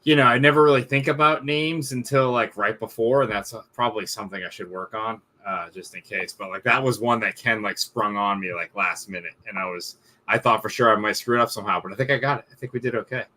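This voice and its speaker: A man co-hosting a show, talking casually in a soft-spoken, self-doubting way with many fillers. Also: on the low side, fairly even intonation, fast talker, hardly any pauses. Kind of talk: casual chat.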